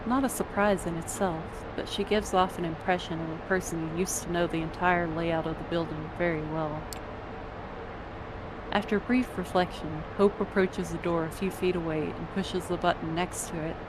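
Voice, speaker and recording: A woman's voice: fairly low pitch, steady tone, normal speed, background noise. A woman reading scripted second-person story narration as the narrator. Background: music under the voice.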